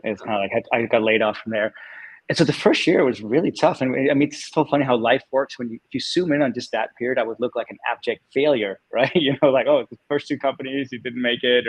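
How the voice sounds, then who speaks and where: Male guest, 40s, Danish native with a Californian accent, mid-pitched, easygoing and talkative, dry room. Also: no room sound, rough recording. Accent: Californian American. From Denmark. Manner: chatty, laughs often, many fillers. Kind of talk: casual interview storytelling.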